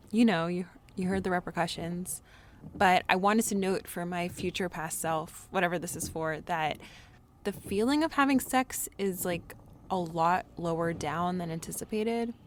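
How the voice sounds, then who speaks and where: A woman's voice: fairly low pitch, slight background noise, lightly acted. An actress in her twenties playing an anxious, candid young woman recording a rambling, self-deprecating audio diary in an audio drama. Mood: sheepish, reflective. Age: 20s. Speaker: lead actor in an audio drama. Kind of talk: confessional audio diary monologue.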